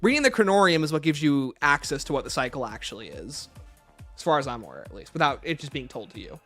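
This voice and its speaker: A man's voice: fairly high pitch, very animated, quick pace, sharp tone, faint music behind. A man hosting a casual livestream, reading out chat questions and answering them conversationally, breaking off to greet and thank viewers. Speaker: livestream host.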